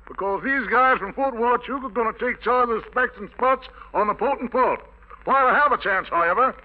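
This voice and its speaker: An American man emceeing a 1940s radio variety show, mixing jive slang with mock-highbrow wordplay. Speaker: radio show host, master of ceremonies. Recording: dull, lo-fi vintage radio recording.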